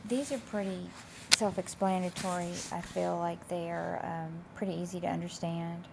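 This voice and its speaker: A woman instructor with a slightly raspy, hoarse voice, explaining coursework in a casual, loosely phrased, conversational way. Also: lowish voice, steady tone, moderate pace. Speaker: college instructor. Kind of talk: informal explanation of course assignments. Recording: clear background noise.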